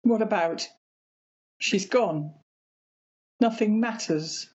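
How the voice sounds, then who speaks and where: A woman's voice: mid-range voice, slow pace, a little mellow, husky, dull audio. A woman playing a grief-maddened, obsessive elderly mother in an amateur radio play, mournful and insistent. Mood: desolate.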